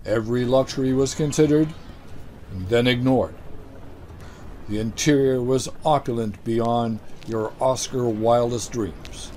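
Slow, mellow older male anchor. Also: medium pitch, slight background noise. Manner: dry, unhurried newsreader delivery. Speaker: comedy news anchor. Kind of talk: deadpan mock news report. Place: studio set with green-screen backdrop.

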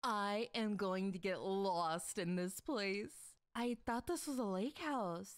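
A woman's voice: medium pitch, slow pace, no room sound, clean studio sound, close to an everyday voice. A woman playing an eager, wide-eyed woman in her thirties in an amateur comic dub. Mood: awed, excited.

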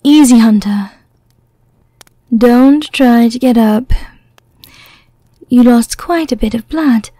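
A woman playing a seductive, commanding vampire in an ASMR roleplay, speaking softly and breathily, often in a whisper, with a coaxing, deliberate, hypnotic manner. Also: a little high, no echo, studio quality. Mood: calm, firm.

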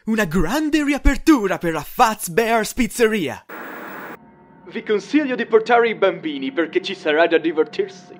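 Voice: medium pitch, expressive, measured pace, slight background noise, natural voice. An actor voicing a self-assured, earnest animatronic bear leader in a parody cartoon, with a declamatory manner. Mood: proud.

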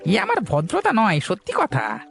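An old, pious village gentleman from a cartoon, chatty and excitable. The voice is creaky and sits high, with huge swings in pitch and drawn-out, theatrical interjections. Soft music plays behind it. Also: heavily acted. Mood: jovial, chatty. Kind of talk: cartoon voice acting.

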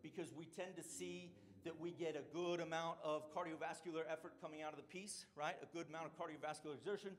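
A man's voice: on the high side, steady tone, fast, hardly any pauses. A middle-aged man, a strength and conditioning coach, giving a conference presentation and explaining points with frequent "right?" check-ins.

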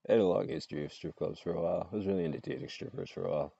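A man's voice: sits low, racing, creaky, dark tone, slightly rough, dry recording, muffled recording. A man in his forties chatting casually outdoors into an earbud mic, laughing often as he rambles. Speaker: vlogger. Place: outdoors under trees. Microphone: earbud headset mic, phone close up.

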